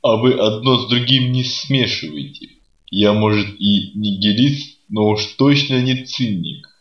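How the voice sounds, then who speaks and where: Man playing a deep, slow, dour nihilist. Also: natural voice. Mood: deadpan. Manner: unhurried, sardonic, deadpan. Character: dour, contrary, slow-witted-sounding nihilist radio guest. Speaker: radio guest. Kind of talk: comedy radio sketch acting. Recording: roomy, dull lo-fi sound.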